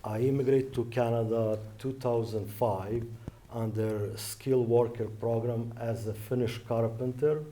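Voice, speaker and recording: A man's voice: mid-range voice, steady tone, a little slow, mellow. A man from Western Ukraine with a Ukrainian accent, giving a debate opening statement as an election candidate in careful non-native phrasing.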